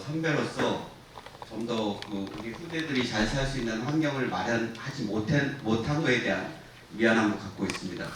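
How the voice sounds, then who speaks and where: A man's voice: medium pitch, fairly bright tone. A man in his sixties giving an informal guest lecture to students, frank and self-deprecating, with many fillers. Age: 60s. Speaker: production company head giving a guest lecture. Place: university lecture hall. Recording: distant mic in an echoey lecture hall with noise.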